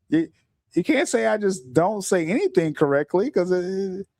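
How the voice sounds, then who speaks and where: A middle-aged man hosting a casual podcast livestream, talking in an animated way, stumbling over repeated words and often laughing. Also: sits high, measured pace, no room sound, studio quality. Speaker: podcast host.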